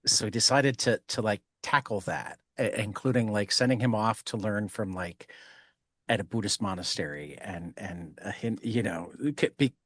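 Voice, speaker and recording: A man's voice: lowish voice, normal speed, fairly bright tone, no echo. Guy hosting a podcast, rambles and jokes around, dry close mic. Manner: rambling and jokey, laughs often. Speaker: podcast host. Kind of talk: casual podcast conversation.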